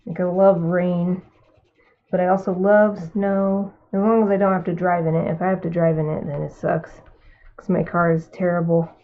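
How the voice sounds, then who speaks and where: A woman's voice: lowish voice, little pitch movement, normal speed. A woman with a Californian accent, an artist chatting casually while she paints live, musing aloud and murmuring to herself between remarks. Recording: tinny phone-like sound.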